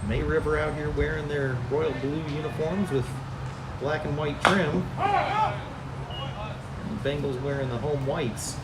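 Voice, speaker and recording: A man with a high, nasal voice calling a high school baseball game play by play in a chatty, easygoing way. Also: very animated, measured pace, pauses often. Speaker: play-by-play announcer. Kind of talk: baseball commentary. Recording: outdoor booth with loud noise, slightly distant mic.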